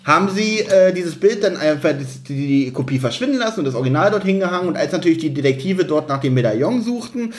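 A man with a fairly high voice chats as a podcast co-host. He retells a story informally, feeling for the right word and briefly acting out lines as he goes. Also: expressive, quick pace, hardly any pauses, faint music behind. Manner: acts out quotes, hesitant searching for words. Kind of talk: casual podcast chat, retelling and critiquing a story.

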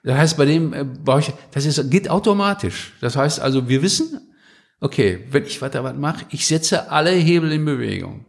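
A man's voice: fairly high pitch, lively intonation, normal speed, creaky. A man from Westphalia speaking as an interview guest in a casual, blunt, colloquial way. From Westphalia, Germany. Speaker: podcast guest, book publisher.

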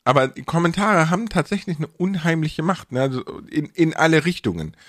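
A man's voice: fairly high pitch, animated, a little fast, fairly bright tone, no echo. Colloquial man chatting, dry close sound, sibilant s. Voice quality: slightly stressed sibilant s sounds. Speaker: podcast host and content creator. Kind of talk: casual podcast chat.

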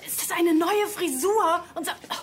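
Worried but loving mum for a kids' radio play: high, youthful, reproachful, faint background noise. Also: female voice, moderate pace. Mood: dismayed, reproachful. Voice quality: youthful, teenage-sounding voice. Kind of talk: children's audio drama acting.

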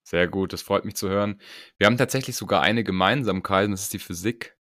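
A man hosting a podcast interview, chatty and upbeat, with fillers and quick asides. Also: low voice, a bit bright, dry recording, studio quality. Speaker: podcast host.

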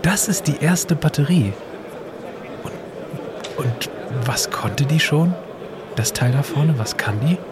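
A man's voice: on the high side, animated, slow pace, pauses often, very noisy. A man speaking conversational, scripted audio drama dialogue as a time-travelling presenter.

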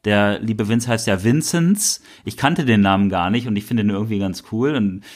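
A man's voice: lowish voice, moderate pace. A man co-hosting a podcast, talking casually and rambling with enthusiasm. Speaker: podcast co-host.

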